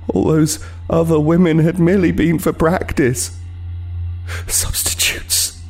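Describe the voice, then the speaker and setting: An actor playing a haunted, remorseful homeless killer, narrating a horror audio drama gravely and with anguish. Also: male voice, medium pitch, a little mellow.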